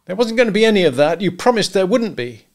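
Guy doing a petulant bitter husband from a storybook, voice pushed up high, accusing and worked up. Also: animated, brisk. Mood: angry, accusing. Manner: agitated, accusing, emphatic. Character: wealthy husband, bitter, disgusted, petulant and easily provoked. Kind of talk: dramatised storybook reading.

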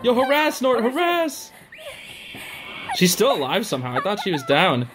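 A man giving casual, slangy live reaction commentary in an excitable, giggly, exclaiming manner. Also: high voice, normal speed, music in the background. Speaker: host of a reaction video.

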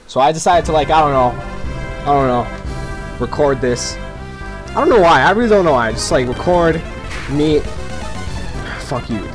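Animated, profane man narrating his gameplay. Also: fairly high pitch, normal speed, pauses often, music in the background. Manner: rambling, profane, laughs often. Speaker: gameplay commentator. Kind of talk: casual off-the-cuff gameplay commentary.

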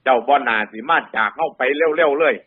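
A man's voice: on the high side, moderate pace, breathy edge, phone-quality sound. A man voicing a frightened tiger in a folk fable, stammering, pleading and wailing with an Isan accent. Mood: lamenting. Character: frightened panicky tiger fleeing an imagined monster.

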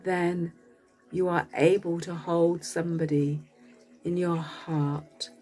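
A woman narrating a guided meditation as a coach, speaking gently in short phrases with long pauses between them. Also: fairly low pitch, flat delivery.

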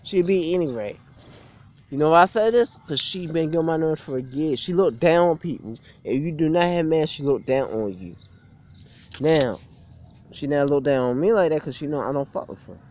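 Young male vlogger, higher voice with lively swings, blunt aggrieved rant, shot outdoors. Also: moderate pace, a little hiss or hum. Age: young adult. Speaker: gym vlogger. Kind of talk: informal opinionated rant to camera. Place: outdoors beside a brick building on a street. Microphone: phone or webcam at arm's length.

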